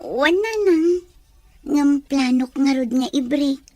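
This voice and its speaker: A woman's voice: high voice, slow pace, a little mellow. A woman with an Ilocano Filipino accent acting a troubled young student who is ending a romance in a radio drama. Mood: resigned.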